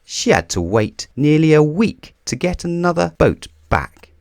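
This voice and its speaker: A man reading a children's storybook aloud in a theatrical, deliberate way, drawing out key words for emphasis. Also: a little high, big pitch swings, creaky, no echo, studio quality. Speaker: children's book reader. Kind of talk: scripted storybook read-aloud.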